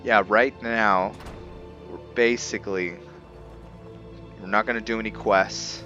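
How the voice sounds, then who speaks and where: Deep male voice, bright and forward, casual game commentary, halting delivery, faint music behind. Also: steady tone, dull audio. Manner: halting, thinking aloud. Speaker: gameplay host, roleplayer. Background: soft background game music.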